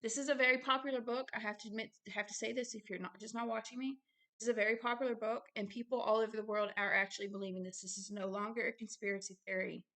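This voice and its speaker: A woman hosting a religious video, reading from a book and commenting informally in a halting, earnest way with insistent asides. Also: fairly high pitch, level tone, sharp tone.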